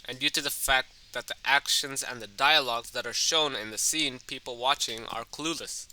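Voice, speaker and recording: A man's voice: medium pitch, fairly even intonation, normal speed, bright, forceful tone, poor audio. A man narrating a scripted film analysis as a video essayist, addressing the viewer in a conversational way.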